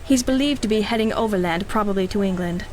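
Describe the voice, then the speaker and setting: A woman's voice: mid-range voice, fast talker, a little hiss or hum, natural voice. An actress playing a cynical, ruthless female mercenary in a 1930s-style radio drama, speaking with arch, mocking condescension. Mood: coolly mocking.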